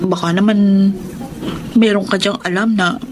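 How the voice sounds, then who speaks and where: An actress playing a worried, hesitant wife in a Filipino radio drama, with halting, emotive delivery. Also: female voice, mid-range voice, fairly even intonation, background noise, close to an everyday voice. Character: hesitant, worried wife Cherry with unemployed husband.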